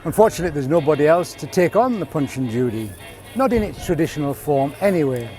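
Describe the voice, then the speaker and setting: A man in his seventies, a puppeteer, explaining and showing his craft in a measured way with dry humour. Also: a little high, expressive, darkish tone, faint music behind. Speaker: puppeteer, Punch and Judy showman. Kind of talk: informal talk demonstrating puppets.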